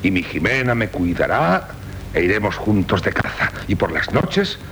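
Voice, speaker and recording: A man's voice: lowish voice, big pitch swings, normal speed, fairly bright tone. An actor playing a hearty, doting warrior count and father in Spanish radio theatre, grandiloquent and affectionate, with a Castilian accent. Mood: tender, proud. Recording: faintly noisy 1970s radio recording.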